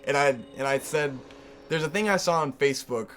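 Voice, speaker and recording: A man in his twenties chatting casually as co-host, animated and laughing easily, swearing for emphasis. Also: fairly high pitch, a little fast, soft background music. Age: 20s. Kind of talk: casual chat.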